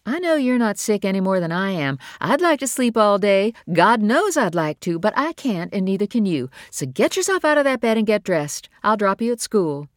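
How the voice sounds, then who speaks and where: A woman reader voicing a weary, stern mother who scolds her son in brisk, insistent lines. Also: mid-range voice, sing-song, no room sound, studio quality, pitched above the performer's own voice, natural voice. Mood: scolding. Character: stern tired mother, woman, middle-aged.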